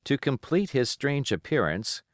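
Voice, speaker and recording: A man's voice: mid-range voice, big pitch swings, measured pace, no room sound, studio quality. A man reading a classic adventure novel aloud as a scripted audiobook narration.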